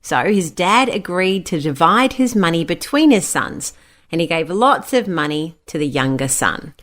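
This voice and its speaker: A woman's voice: on the low side, sing-song, moderate pace, a bit bright. A woman narrating a scripted children's Bible story and acting out the quoted speech. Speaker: storyteller, a mother.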